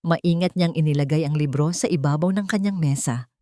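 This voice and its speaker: A woman's voice: low voice, brisk, dark tone, no room sound, studio quality. A woman narrating a scripted romance story in a dramatic storytelling style.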